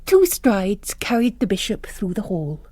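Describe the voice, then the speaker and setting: A woman's voice: mid-range voice, sing-song, measured pace, mellow, no echo. A woman reading scripted literary fiction aloud as a narrator. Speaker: narrator and reader.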